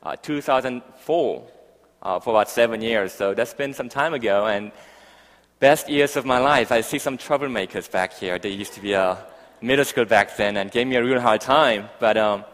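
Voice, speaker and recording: A man's voice: medium pitch, normal speed, a bit bright. A middle-aged man with a foreign accent, a visiting pastor giving an informal, conversational sermon to a congregation. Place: large church hall, speaking to a congregation. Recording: echoey hall with long reverb.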